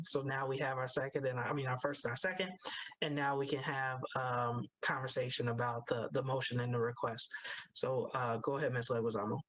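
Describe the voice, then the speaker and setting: A man chairing a board meeting, reading motions and calling for votes in a halting, procedural way. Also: mid-range voice, a bit bright, no echo, low-quality recording.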